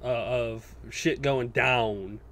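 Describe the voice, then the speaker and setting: A man's voice: mid-range voice, steady tone, extremely slow, a bit bright. A man co-hosting a casual gaming chat, explaining details in an insistent, exacting way. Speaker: podcast co-host. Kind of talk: casual video game chat.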